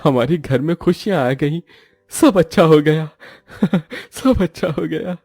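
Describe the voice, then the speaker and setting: A man voicing an excitable, good-hearted village husband and father in a cartoon story, with an exclaiming manner. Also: a little high, unhurried, vocal fry, no room sound, clean studio sound, soft background music, pitched above the performer's own voice. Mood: happy.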